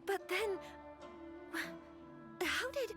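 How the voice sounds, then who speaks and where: An actress playing a gentle, troubled young noblewoman in a video game, speaking with hesitant, polite formality. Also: extremely high pitch, lively intonation, drawn-out words, heavily acted. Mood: surprised, uncertain. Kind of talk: scripted video game dialogue. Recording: background music under the voice.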